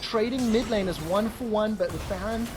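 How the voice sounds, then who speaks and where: A man calling live esports play-by-play with quick, excited bursts. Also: high voice. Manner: excitable, fast bursts, exclamations. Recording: commentary over loud game music.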